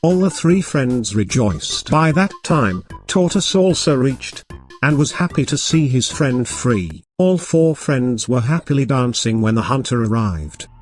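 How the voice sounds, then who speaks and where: A man's voice: medium pitch, lively intonation, unhurried, soft background music. A man narrating a scripted children's moral story as storyteller.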